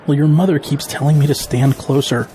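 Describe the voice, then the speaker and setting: A man's voice: fairly high pitch, fairly even intonation, a little fast, dark tone, slight background noise, lightly acted. Man playing dry, wry middle-aged dad. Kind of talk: audio drama acting.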